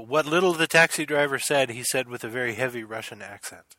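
A man running a tabletop horror game, describing scenes calmly and asking players for rolls. His voice is bright and forceful. It sounds dry and close. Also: mid-range voice, brisk, no room sound, rough recording. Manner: calm descriptive narration, calls for rolls. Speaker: game master.

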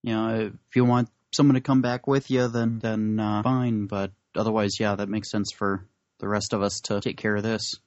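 A man's voice: fairly low pitch, flat delivery, normal speed, a little mellow, no echo. A man chatting casually as a player in a tabletop game over a call. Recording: dry, dull lo-fi call audio.

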